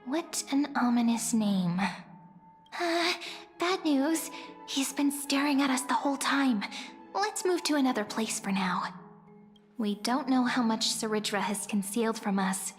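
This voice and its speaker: A curious young adventurer girl, game dialogue, high voice, echoey, conversational. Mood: teasing, cautious. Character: young woman adventurer, curious, shrewd and playful. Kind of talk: fantasy video game voice acting. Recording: long reverb, music underneath.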